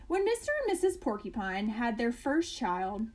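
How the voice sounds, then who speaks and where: A woman reading a children's picture book aloud as a bedtime story, with expressive emphasis and casual asides. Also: a little high, moderate pace.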